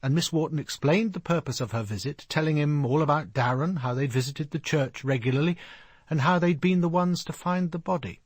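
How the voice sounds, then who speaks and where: Actor as male narrator, British, fairly high pitch, husky, dry recording, measured detective radio play storytelling. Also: no echo, natural voice.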